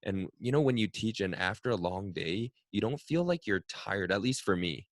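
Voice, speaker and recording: Deep-voiced male podcast host, reflective. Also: animated, moderate pace, no room sound, studio quality. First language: Cantonese. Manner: reflective, frequent "right?" tag questions. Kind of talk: casual conversational interview.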